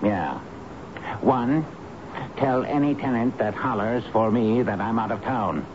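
An actor playing an irritable building manager in a radio drama, brusque, grumbling and barking orders. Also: male voice, mid-range voice, unhurried, lightly acted. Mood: bossy, grumbling. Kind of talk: old-time radio drama acting. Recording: dull, noisy radio drama recording.

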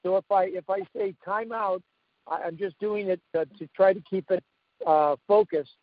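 A man hosting an interview, talking haltingly with many fillers and repeated words. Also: high-pitched, no room sound. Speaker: host. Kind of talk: casual interview talk. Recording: dull lo-fi call audio.

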